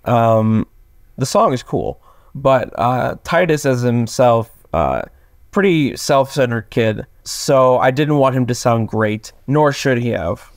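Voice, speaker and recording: Young male actor as interview guest; clean, resonant tenor lowered by sleep, hesitant casual talk, close dry sound. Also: animated, takes it slowly, no room sound. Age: young adult. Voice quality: tenor, lowered from just waking up. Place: plain small room indoors, video call.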